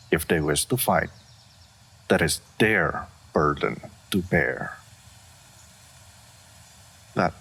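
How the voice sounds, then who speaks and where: A man playing an ancient, aloof male dragon in a fantasy roleplay audio, speaking formally and deliberately, stoic and wise, with a gravelly, husky voice. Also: rumbling low, a bit bright, exaggerated voice. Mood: weary, aloof. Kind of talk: roleplay audio monologue, measured fantasy acting.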